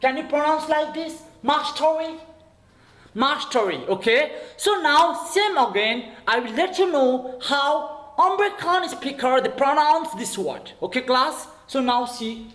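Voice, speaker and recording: A man with a Nepali accent teaches an English lesson over a video call, his voice high and bouncing all over the place. He spells words out letter by letter and checks in again and again. The sound is roomy, with echo around him. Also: lively intonation, a little slow, fairly bright tone. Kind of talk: online classroom lesson.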